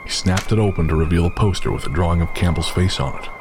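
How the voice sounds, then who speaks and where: A man giving scripted, measured narration for a horror audio drama, with a music score playing behind him. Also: sits low.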